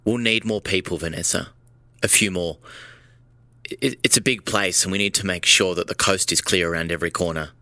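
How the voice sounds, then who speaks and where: A male actor plays a fidgety man weighed down by guilt in an audio drama. His voice is low and sharp, recorded close and dry, and he halts and repeats himself as he plots to put things right. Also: steady tone, fairly quick, dry recording. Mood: urgent, nervous. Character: fidgety, guilt-ridden man plotting to undo his damage.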